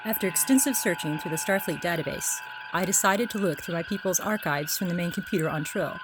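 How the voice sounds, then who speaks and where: A woman's voice: on the low side, fairly quick, noisy background, natural voice. A woman playing a matter-of-fact scientist reporting in a sci-fi audio drama. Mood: measured, informative. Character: scholarly, matter-of-fact female alien science doctor.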